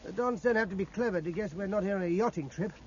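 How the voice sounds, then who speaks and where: An actor playing an impatient, self-critical young British naval officer in a 1950s radio drama. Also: male voice, sits high, fast talker, a little hiss or hum, close to an everyday voice. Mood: exasperated. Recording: dull old radio recording, faint music.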